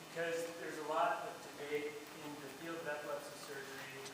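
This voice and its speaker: A male neurosurgeon asking a measured, formal question from the audience. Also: a little high. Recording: very echoey, far from the mic, loud noise.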